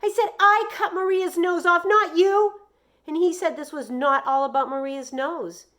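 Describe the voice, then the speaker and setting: A wound-up young boy played by a woman reading a storybook aloud, pitched well up and pushed loud. He blurts out his grievances insistently, one tumbling into the next. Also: measured pace. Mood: frantic. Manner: shouting, insistent, breathless run-on complaints.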